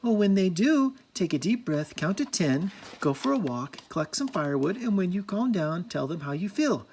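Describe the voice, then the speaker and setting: The storybook reader voicing a sweet, gentle young princess who fusses kindly over everyone. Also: medium pitch, expressive, moderate pace, a little hiss or hum, voice pushed up, natural voice. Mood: soothing. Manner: sugary, fussing and kindly.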